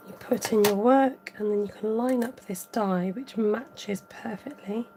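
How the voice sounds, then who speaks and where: A woman hosting a live card-making tutorial, thinking aloud as she works, chatting with viewers and reading out their comments. Her voice is a little croaky. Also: mid-range voice, a little slow, background noise. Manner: rambling, thinks aloud while working. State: slightly croaky voice. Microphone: overhead camera above a craft table, voice from off-frame.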